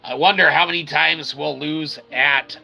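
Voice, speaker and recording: A man's voice: fairly high pitch, steady tone, measured pace, vocal fry, bright, forceful tone, soft background music. A man giving casual, wry commentary as he plays a video game, talking back to the game and its characters with mild swearing. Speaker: host of a gameplay video.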